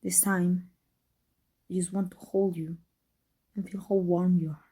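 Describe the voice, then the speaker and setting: A woman playing a guarded but tender villain in an intimate ASMR roleplay, speaking in a halting, emotional, breathy way. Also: on the low side, level tone, dark tone. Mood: soft, affectionate. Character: tender, guarded villain woman confessing love to her rival. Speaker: voice actor.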